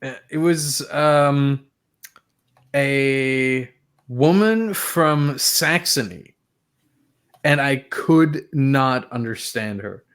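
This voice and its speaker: Slow-talking German man cracking jokes. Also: medium pitch, fairly bright tone, clean tone. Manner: joking, punning. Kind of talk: casual chat.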